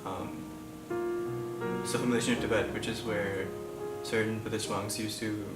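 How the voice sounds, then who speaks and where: A soft-spoken man speaks in a low, level voice with little rise and fall. He sounds distant in an echoey room, with music playing behind him. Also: flat delivery, moderate pace. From Pakistan. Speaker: physics student. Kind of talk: documentary interview answers.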